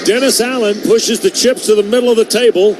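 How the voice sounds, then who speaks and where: A man's voice: sits high, fairly even intonation, brisk, over music, poor audio. An American man calling live football play-by-play for radio from a stadium. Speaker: play-by-play sports announcer. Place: stadium broadcast booth. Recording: loud stadium noise behind the voice. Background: loud crowd and stadium ambience.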